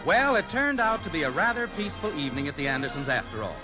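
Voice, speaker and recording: A man's voice: a little high, sing-song, fast. An American man announcing and narrating in scripted 1950s radio style. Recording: muffled old radio broadcast. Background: music.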